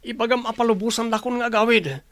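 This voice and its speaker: A man's voice: very high-pitched, steady tone, fast talker, fairly bright tone, dry recording. A man playing a callous, scheming young groom in an Ilocano radio drama, with a Filipino accent. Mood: defensive, demanding.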